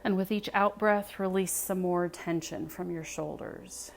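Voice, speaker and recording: Slow-paced, low-pitched woman guiding meditation. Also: steady tone, vocal fry, a little hiss or hum. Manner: soothing, unhurried, phrase-by-phrase delivery with pauses. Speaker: meditation guide. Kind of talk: guided meditation narration. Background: music.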